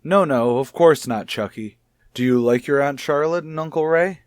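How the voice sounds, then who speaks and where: An actor playing a gentle, probing child psychologist in a radio soap, speaking in a measured, soothing, tactful way. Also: male voice, a little high, no room sound, studio quality, lightly acted. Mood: kindly probing. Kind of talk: old radio soap opera acting.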